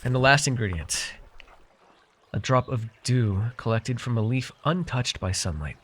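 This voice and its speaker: A man's voice: medium pitch, lively intonation, unhurried, fairly bright tone. A man playing a chatty, wry and friendly fae potion brewer in a fantasy audio roleplay, talking to the listener with hesitant asides. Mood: explanatory, focused.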